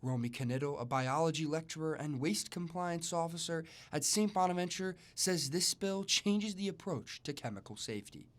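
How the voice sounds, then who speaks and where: A man's voice: a little high, animated, moderate pace, hardly any pauses. A young man delivering scripted broadcast news as a student TV reporter. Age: young adult.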